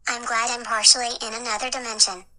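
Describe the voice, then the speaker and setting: A woman voicing a snarky, aloof interdimensional creature who makes precise, deadpan announcements for a comedy podcast. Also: a little high, quick pace, rough recording.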